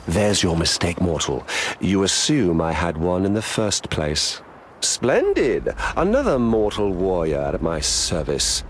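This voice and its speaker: A man's voice: sits low, big pitch swings, a little slow, slight background noise. A man playing a scheming, arrogant trickster god-king in a video game, pompous and mocking. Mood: smug, mocking.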